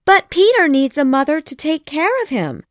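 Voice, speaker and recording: A woman's voice: mid-range voice, very animated, slow pace, darkish tone, dry recording, clean studio sound. A woman voicing an eager, imaginative young girl full of longing in a children's audiobook. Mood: pleading.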